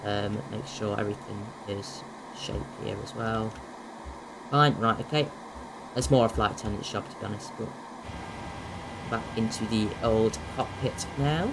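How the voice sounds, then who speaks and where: A man's voice: on the low side, measured pace, creaky, pauses often. A young man from Ireland with an English accent, chatting casually through a flight simulator walkaround with lots of ums. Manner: chatty, filler-heavy with many ums. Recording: loud air conditioning and vehicle hum.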